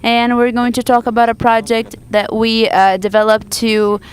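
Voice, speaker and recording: A woman interpreting a panel discussion live into English from a conference booth, halting often to restart and correct her words. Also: fairly high pitch, completely flat delivery, clean tone.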